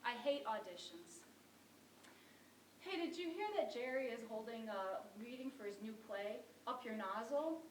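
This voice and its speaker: A woman's voice: fairly high pitch, lively intonation, unhurried. A woman performing a wry, exasperated struggling actress in a theatrical monologue, telling her story with dramatic emphasis. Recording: roomy distant mic, faint noise.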